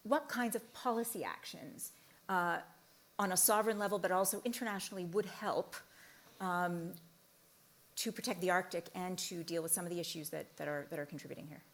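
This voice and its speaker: Woman moderating a panel, low voice. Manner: measured, probing questions. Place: conference stage with audience.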